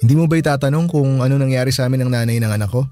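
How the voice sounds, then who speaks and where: Earnest young single dad, medium pitch actor. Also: male voice, racing, mellow, natural voice. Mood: earnest, hurt. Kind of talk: Filipino radio drama acting. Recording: music bed under the voice.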